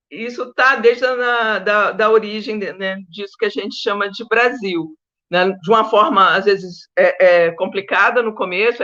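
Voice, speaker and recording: A woman's voice: mid-range voice, a bit bright, highs cut off. A Brazilian woman, a historian of science, speaking informally as a panel guest in an emphatic, digressive, conversational manner, often checking that listeners follow. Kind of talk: informal academic panel talk.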